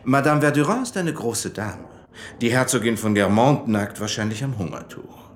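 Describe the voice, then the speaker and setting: An actor playing a pompous, chummy society doctor who boasts in colloquial, emphatic German radio drama style. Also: male voice, medium pitch, expressive, moderate pace, a little hiss or hum, natural voice. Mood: emphatic bragging.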